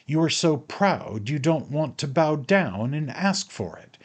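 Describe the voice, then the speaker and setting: A man voicing a proud, hysterical man who shouts emphatically and insistently at his servant in a dramatic audiobook. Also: fairly low pitch. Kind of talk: dramatic audiobook character acting.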